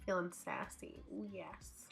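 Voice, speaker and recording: A woman's voice: fairly low pitch, huge pitch swings, slow, vocal fry. A woman beauty vlogger with a cold and a stuffy nose, chatting casually as she narrates her makeup tutorial. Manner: chatty, upbeat, thinks out loud with fillers. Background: faint background music.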